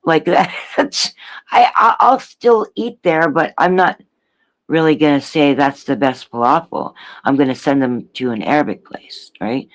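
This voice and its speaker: A man from Canada with a Canadian accent, chatting casually on a panel in a halting way, repeating phrases. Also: fairly high pitch, fairly bright tone. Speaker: panel participant.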